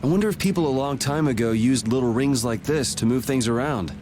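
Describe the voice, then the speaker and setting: A man's voice: medium pitch, fairly quick, noisy background, close to an everyday voice. A man playing a wry young ex-knight in a video game, laid-back, dry and teasing in delivery. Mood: casual. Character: cool, wry young male ex-knight swordsman. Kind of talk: English video game voice acting.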